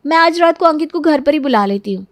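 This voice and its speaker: A woman's voice: sits high, lively intonation, fast talker. A woman voicing a whiny, self-absorbed young bride-to-be with a babyish, dramatic way of complaining in a cartoon. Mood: flustered. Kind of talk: Hindi cartoon story acting.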